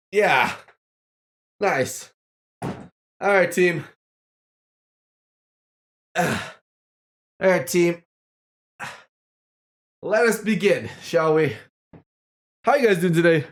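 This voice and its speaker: A playful streamer talks casually to an online audience. The pitch jumps around with great liveliness, and phrases come out as exclamations, often repeated for effect. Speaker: livestream host. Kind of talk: casual livestream chat to viewers.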